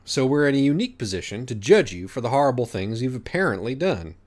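A man voicing a dignified, composed middle-aged woman author in an audiobook story, measured and judging. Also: medium pitch, big pitch swings, a little mellow, voice pushed up, close to an everyday voice.

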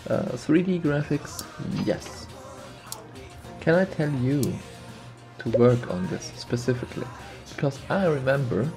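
A man's voice: mid-range voice, creaky, mellow. A man with a slight sniffly cold, casually commenting on a game he plays and thinking aloud as he goes. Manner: thinks aloud, muses and repeats himself. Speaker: let's play host.

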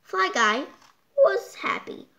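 A child reading a picture book aloud, halting and stumbling over words, with exclaimed side comments. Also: very high voice, wildly swooping pitch, vocal fry, bright, forceful tone. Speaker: storytime reader. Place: indoors, hands holding book before the camera.

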